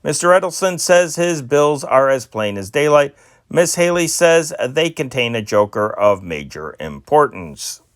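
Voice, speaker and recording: A man reading old newspaper stories aloud in a steady amateur style, with small slips and restarts. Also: on the high side, measured pace, dry recording, clean studio sound. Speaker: newspaper reader.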